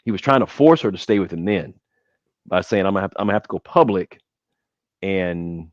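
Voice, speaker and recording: Midwestern man as podcast guest; low voice, halting and rambling, dull dry recording. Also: animated, dry recording. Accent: Midwestern American. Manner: rambling, with many restarts and hesitations. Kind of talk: casual podcast chat.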